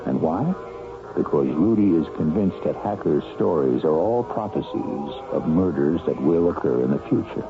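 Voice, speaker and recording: A man narrates a radio mystery in a very deep, creaky voice. He is theatrical and deliberate. Music plays behind him on a tinny broadcast. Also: expressive. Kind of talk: dramatic scripted radio narration.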